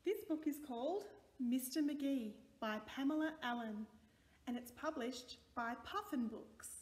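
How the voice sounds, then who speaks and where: A woman in her forties hosting a children's storytime, reading a picture book aloud with expressive, deliberate delivery. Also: high-pitched, breathy edge. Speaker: storytime host and reader.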